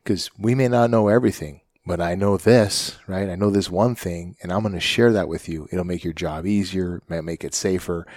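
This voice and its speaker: A man's voice: sits low, a little mellow, no echo. A man hosting a podcast interview, encouraging his guest and often checking with "right?". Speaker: podcast host, firefighter. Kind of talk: casual interview chat.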